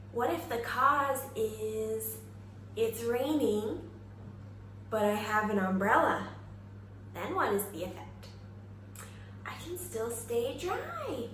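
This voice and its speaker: A woman teacher giving an expressive, exaggerated children's read-aloud and simple lesson, prompting listeners with questions. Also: fairly high pitch. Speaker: kindergarten teacher reading aloud. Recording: echoey room, faint background noise.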